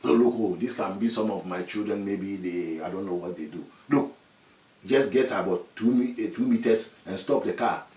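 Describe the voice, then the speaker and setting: A man's voice: fairly low pitch, moderate pace, a little mellow, voice pushed down, lightly acted. Man doing a scared old chief: stammering, begging; echoey, distant. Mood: hushed. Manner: pleading, apologetic, stammering. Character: frightened village chief, older man.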